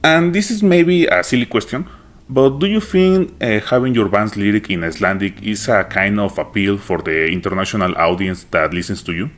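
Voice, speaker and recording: Man from Mexico, podcast host, very deep voice, eager, lots of agreeing. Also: normal speed. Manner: enthusiastic, many affirming yeses. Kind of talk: interview questions.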